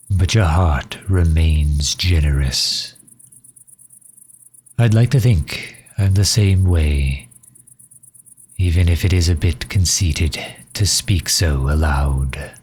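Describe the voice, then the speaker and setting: Man voicing a low, husky, courteous vampire leader. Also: darkish tone, full character voice. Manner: formal, polite, old-fashioned diplomatic phrasing. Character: courteous, earnest male vampire leader pleading for refuge. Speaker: voice actor in an audio roleplay. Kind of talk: scripted ASMR roleplay, addressing the listener.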